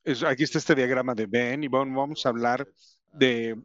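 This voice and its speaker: A man with an English accent and English as his first language, giving a conference presentation in a halting way, with many fillers and restarts. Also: mid-range voice, fairly even intonation, fairly bright tone, lo-fi sound. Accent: English speaker's Spanish. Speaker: company representative presenting to educators.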